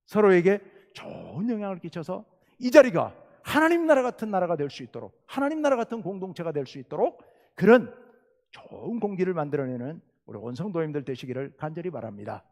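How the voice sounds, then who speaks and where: A middle-aged pastor with a Jeolla Korean accent preaches to his congregation, his fairly high voice swinging widely as he asks questions and presses his points. The sound carries the long reverb of a church sanctuary. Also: big pitch swings, brisk, vocal fry. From South Jeolla, Korea. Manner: earnest preaching, rhetorical questions, rising emphasis. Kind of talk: sermon.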